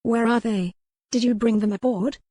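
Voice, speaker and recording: A woman's voice: a little high, fairly even intonation, normal speed, dark tone, no echo, clean studio sound, pitched above the performer's own voice, lightly acted. A woman voicing a resolute, anxious young noblewoman who asks questions, in an audiobook. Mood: anxious, insistent. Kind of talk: audiobook character voice.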